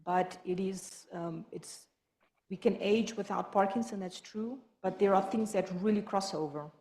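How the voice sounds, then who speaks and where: Fast-talking woman. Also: mid-range voice, darkish tone. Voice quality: quick, halting delivery with many restarts. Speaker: health professional. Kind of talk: support group presentation. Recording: echoey room.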